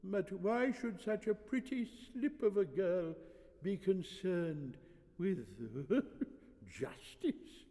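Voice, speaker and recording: Storybook high priest, nineties: fragile, high-pitched wheeze, halting, doddering, lecherous, reverberant. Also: male voice, lively intonation, dark tone, full character voice. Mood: delighted, lecherous. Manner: halting, stammering, drawn-out.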